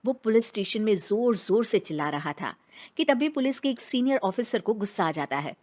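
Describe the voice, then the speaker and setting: A woman's voice: mid-range voice, animated, normal speed, darkish tone. A woman narrating a scripted dramatic story as its storyteller.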